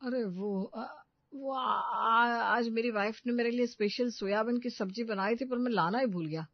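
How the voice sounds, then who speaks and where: A woman voicing a weary, sarcastic husband who grumbles and gets embarrassed, in an animated family story. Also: a little high, moderate pace, dry recording, lo-fi sound. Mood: nervous.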